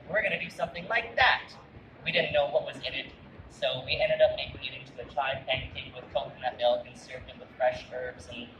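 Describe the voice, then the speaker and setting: A man who grew up in Canada, telling a personal food story in an earnest, reminiscing way with frequent restarts. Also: medium pitch. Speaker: chef giving a talk. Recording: roomy, distant mic with loud background noise.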